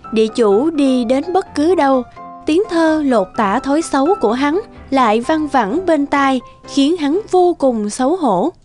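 A woman's voice: high voice, animated, a little slow, a little mellow, soft background music. A woman reading scripted fairy-tale narration for a children's story.

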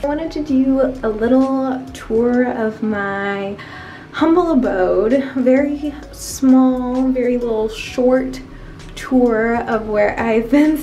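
A young woman talks slowly and easily to her camera in a small apartment room, rambling and laughing through her day. Her voice sits a little high, and clear background music plays under her. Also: muffled recording. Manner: chatty, laughs often, relaxed and rambling. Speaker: vlogger. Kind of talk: casual vlog chat. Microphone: camera on a desk, later handheld camera.

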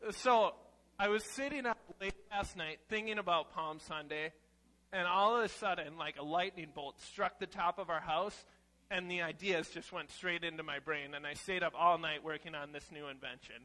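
Bright, high-pitched young man, playful children's preacher. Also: normal speed, poor audio. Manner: animated, informal, exclaiming. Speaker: children's message leader at church. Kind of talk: playful, casual children's sermon. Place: church sanctuary, seated on chancel steps among children.

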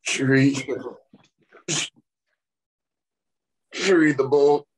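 A man acts out a gravely ill man, anguished and bitter. His voice is husky, with a weak, pleading delivery suited to intimate stage drama. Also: a little high, steady tone, normal speed, lightly acted, poor audio. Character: dying man, sick, bitter, anguished and self-pitying. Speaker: actor at a table read. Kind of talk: dramatic script reading.

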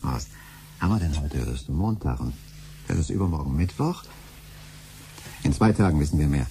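An actor playing an ordinary, curious but hesitant husband in conversational 1970s radio drama style. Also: male voice, a bass voice, animated, normal speed, gravelly, heavily acted. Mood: casual, curious. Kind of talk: 1970s German radio play acting. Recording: noisy 1970s radio recording, hum.

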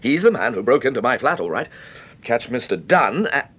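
Insistent British gentleman, husky and very animated. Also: mid-range voice, moderate pace. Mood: indignant, insistent. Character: insistent, indignant gentleman, fussily polite. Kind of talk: British radio detective drama acting.

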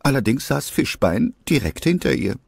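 A man's voice: medium pitch, very animated, normal speed, gravelly, slightly rough, dry recording. A man narrating a scripted audio drama as its storyteller.

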